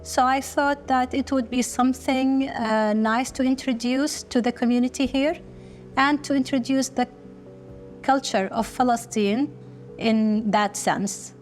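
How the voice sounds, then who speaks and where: Middle-aged woman from kuwait, slow earnest interview talk, faint music under it. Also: sits high, steady tone. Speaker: business founder. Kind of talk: documentary interview.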